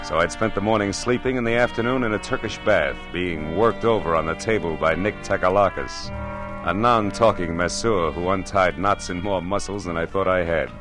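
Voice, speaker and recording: A man's voice: deep voice, normal speed, natural voice. An actor playing a wry, hard-boiled male private detective who narrates the story, in a dry, laconic, wisecracking manner, in 1940s radio drama style. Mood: narrating. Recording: old radio broadcast with music. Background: music.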